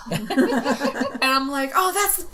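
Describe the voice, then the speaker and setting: A woman in a casual group discussion, talking as a podcast creator in an expressive, playful, giggly way. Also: sits high, extremely slow. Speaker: podcast creator and audio editor.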